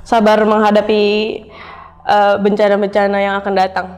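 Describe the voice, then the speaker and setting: A woman's voice: medium pitch, completely flat delivery, moderate pace, darkish tone. A young woman answering casually as an interview guest, explaining informally and repeating phrases for emphasis. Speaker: interview guest, cyber security analyst. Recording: reverberant room, faint music.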